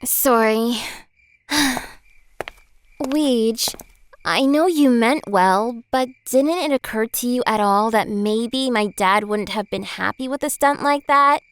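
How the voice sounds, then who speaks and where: A woman's voice: a little high, clean tone. A woman voicing a spirited young princess, blunt but caring, with a sassy manner in a fan comic dub. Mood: exasperated. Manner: brisk, sassy, exasperated.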